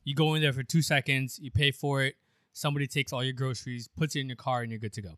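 A man co-hosting a podcast in loose, rambling casual chat, quick to laugh. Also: medium pitch, little pitch movement, a bit bright, no echo, studio quality. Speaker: podcast co-host.

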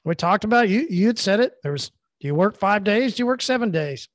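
A man's voice: high-pitched, lively intonation, a little fast, no room sound, studio quality. A middle-aged man co-hosting a podcast, talking earnestly in long, rambling, repetitive stretches. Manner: earnest, rambling, repeats himself. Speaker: podcast co-host. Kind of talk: casual motivational talk.

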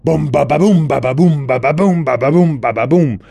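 A man voicing a big, jolly male elephant who loves noise and parties, cheerful and booming. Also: a little fast, vocal fry, mellow. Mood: exuberant.

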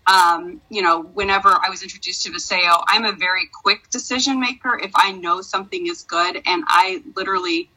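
A woman's voice: on the low side, fairly even intonation, brisk, hardly any pauses, sharp tone, rough recording. A woman in her forties, talking eagerly and casually as an interview guest, with frequent ums and likes. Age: 40s. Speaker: guest, network marketer.

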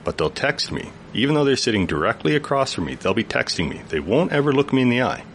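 A man, a youth worker, explaining earnestly as a guest in a radio studio interview, with a deep voice. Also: very animated, fast, a bit bright, slight background noise.

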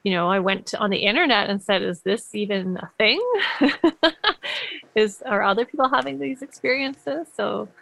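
A woman telling a personal story in a casual conversation, in a reflective way and with soft laughs. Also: medium pitch, normal speed, creaky, no echo.

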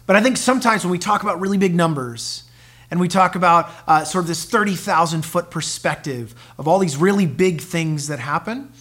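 A man speaking as pastor to a church congregation, halting and full of fillers and repeated words. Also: high-pitched, a bit bright, breathy edge. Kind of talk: sermon announcement to a congregation.

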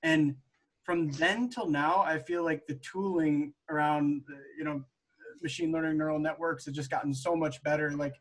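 A male podcast host asks questions in a relaxed, casual way. He often repeats words as he goes. His voice sits slightly high for a man. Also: normal speed, poor audio. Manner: friendly, stammers and repeats words. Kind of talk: casual remote interview.

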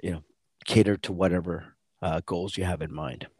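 Male coach for casual podcast chat, fairly deep voice, dry close sound, halting delivery that often restarts its phrases. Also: fairly even intonation, darkish tone, husky, no room sound. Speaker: endurance coach and podcast co-host. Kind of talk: casual conversational podcast talk.

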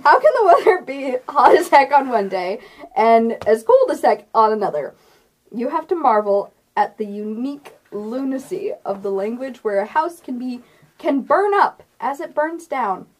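High, animated young woman. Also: measured pace. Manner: giggly, playful. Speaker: game master. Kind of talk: casual tabletop game podcast with friends, some reading aloud.

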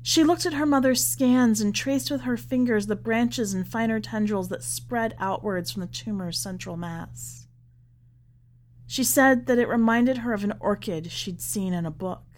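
Slow-paced woman reading a story. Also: on the high side. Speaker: podcast host reading a short story. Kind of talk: scripted literary narration.

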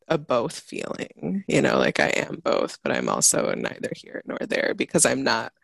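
A woman's voice: deep voice, creaky, no echo. A woman from the United States and Mozambique, a podcast guest, talking thoughtfully and searching for words as she shares her experience. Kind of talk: reflective casual conversation.

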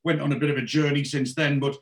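A man's voice: fairly high pitch, flat delivery, fast. Rambling Geordie man hosting a podcast. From North East England. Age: 40s. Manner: rambling, many restarts and fillers. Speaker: podcast host. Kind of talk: casual interview.

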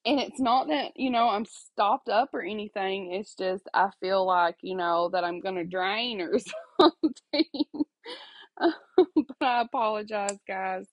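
A woman's voice: medium pitch, unhurried, dry recording, muffled recording. A woman, hosting a casual faith podcast from home, chatty and apologetic, laughing often and asking "okay?", her voice stuffy and sniffly. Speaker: podcast host. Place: at home alone.

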